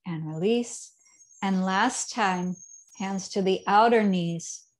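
A woman in her thirties leads a seated yoga practice at a middle pitch, her cues calm and unhurried. Her phrases often drift softly down at the ends. She sounds a little distant, as if caught by a camera across a living room. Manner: calm, unhurried cueing with soft trailing endings. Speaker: yoga teacher. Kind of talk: guided yoga instruction.

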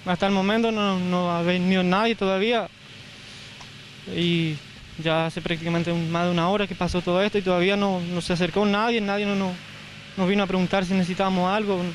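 High-voiced young man, halting outdoors. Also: steady tone. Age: young adult. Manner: hesitant, halting, repeats words. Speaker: homeowner interviewed after fire. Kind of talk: on-the-spot news interview. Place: outdoors at a burned house site. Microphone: handheld reporter mic. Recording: field audio with outdoor noise.

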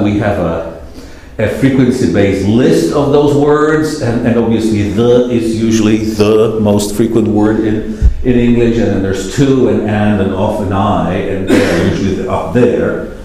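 A man's voice: lowish voice, measured pace, dark tone, slight background noise. Wry, hesitant man lecturing in an echoey hall. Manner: wry, self-deprecating, many filler hesitations. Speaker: keynote speaker. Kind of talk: conference lecture. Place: large lecture hall, standing at a podium. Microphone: podium mic, far camera.

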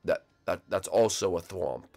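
A man voicing a gruff middle-aged dragon-turtle king who is a strict father, in a lowered, stern voice. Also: lively intonation, moderate pace, a little mellow, full character voice. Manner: stern, patient, corrects his son.

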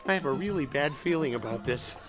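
An actor playing a cocky, sardonic smuggler with a wry, impatient manner in a radio drama. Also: male voice, fairly high pitch, lively intonation, quick pace, lightly acted. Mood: uneasy. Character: cocky, sardonic male smuggler turned rebel general. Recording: music behind radio drama dialogue.

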